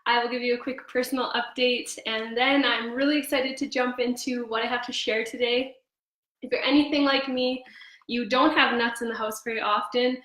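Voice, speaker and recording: A young woman with a slightly hoarse voice, chatting casually on a live cooking stream with frequent ums. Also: on the high side, fairly even intonation, moderate pace, fairly bright tone. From Canada. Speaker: host of a cooking livestream. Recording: echoey room.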